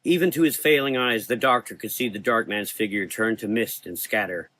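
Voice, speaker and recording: Bright, forceful male horror narrator. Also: lowish voice, fairly quick, low-quality recording. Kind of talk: scripted gothic horror narration.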